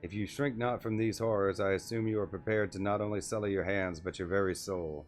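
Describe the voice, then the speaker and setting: Casting: male player as stern old game sorceress, sardonic, haughty, lowish register, faint music behind. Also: steady tone, fairly quick, lightly acted. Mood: grave. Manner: dry, haughty, wordy. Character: crabby, intimidating old female sorcerer, dry and sardonic.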